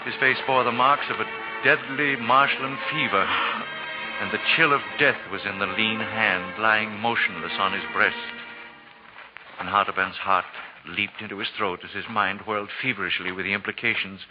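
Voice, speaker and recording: Male narrator, stately storyteller for golden-age radio play, dull old recording under swelling loud music. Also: fairly low pitch, steady tone, normal speed. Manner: grand, poetic storyteller delivery. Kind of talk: 1940s radio scripted dramatic narration.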